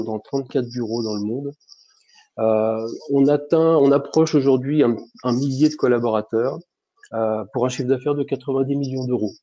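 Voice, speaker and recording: A man's voice: medium pitch, dark tone. A man hosting a business webinar, talking with many hesitations and restarts. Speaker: webinar host. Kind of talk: webinar presentation. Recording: dull lo-fi webinar audio.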